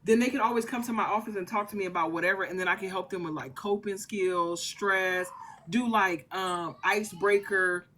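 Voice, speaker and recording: Woman, thirties, mid pitch, earnest rambling interview guest. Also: moderate pace, pushed and bright. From Louisiana family. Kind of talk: casual interview chat.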